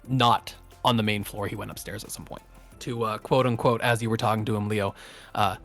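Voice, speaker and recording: A man narrating as game master of a tabletop game, relaxed and pausing often with fillers. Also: fairly low pitch, faint music behind. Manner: relaxed, many filler pauses.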